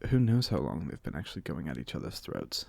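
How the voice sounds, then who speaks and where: A man's voice: a bass voice, very animated, fast talker, gravelly, a little mellow, dry recording, heavily acted. A man playing a playful, chatty old vampire with a British accent in an ASMR audio roleplay, speaking in a theatrical, genteel, jokey way. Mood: wry, confiding. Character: playful, chatty 127-year-old male vampire being interviewed.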